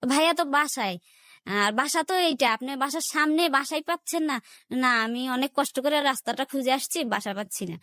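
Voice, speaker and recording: Bangladeshi woman, kinda high voice, telling her life story in an interview, quotes what people said, dry sound. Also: fairly quick, fairly bright tone, no room sound. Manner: recounts events in quoted back-and-forth dialogue. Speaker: interview guest. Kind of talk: personal life story told in conversation, acting out remembered dialogue.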